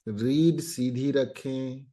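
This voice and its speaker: Very slow-paced male meditation guide. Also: medium pitch. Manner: calm, instructing in short phrases with long pauses. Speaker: meditation instructor.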